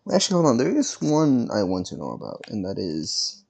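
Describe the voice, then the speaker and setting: A man giving casual playthrough commentary on a video game, hesitant and thinking out loud. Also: mid-range voice, wildly swooping pitch, brisk, gravelly, husky. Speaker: gaming video host.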